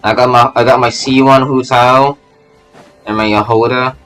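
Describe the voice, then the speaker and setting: A man's voice: mid-range voice, little pitch movement, moderate pace, a bit bright, soft background music. A man doing casual live game commentary, rambling and stammering as he repeats his phrases. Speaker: VTuber game streamer.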